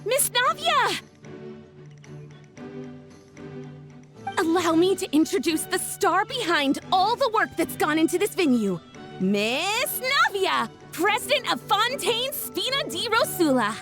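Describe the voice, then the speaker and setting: An excitable young female inventor from a video game, with a very high and lively voice. She gushes theatrically in formal phrases. Faint music plays behind her. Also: unhurried, full character voice. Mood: eager. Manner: gushing, theatrical, formal wording. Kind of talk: animated video game acting.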